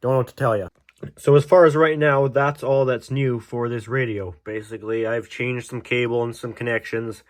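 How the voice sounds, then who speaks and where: A man's voice: medium pitch, steady tone, normal speed. Casual, puzzled man rambling to camera. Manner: puzzled, self-deprecating, swears casually. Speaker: hobbyist video host.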